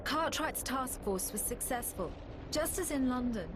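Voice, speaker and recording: An actress playing a grave war narrator in a video game, solemn and measured, with music under her voice. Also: high voice, animated, background noise. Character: grave woman recounting a war against aliens.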